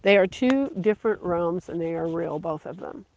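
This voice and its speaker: A preacher giving a slow, earnest devotional sermon, repeating phrases for emphasis. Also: medium pitch, animated, a little hiss or hum. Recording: dull lo-fi recording.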